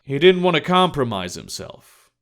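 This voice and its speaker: Audiobook agent, male played by a man: calm, measured, reserved, quietly probing. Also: on the high side. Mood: calm, persuasive. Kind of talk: audiobook character dialogue.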